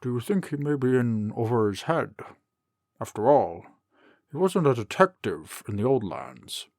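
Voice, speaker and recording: A pompous, effusive mayor of royal stock, played by a man for a comic dub. His pitch swings widely and he fusses over his words in a dry, close recording with faint music. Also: big pitch swings, measured pace, darkish tone, no room sound. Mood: troubled, fussy. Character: pompous, genial old king turned city mayor. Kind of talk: comic dub character acting.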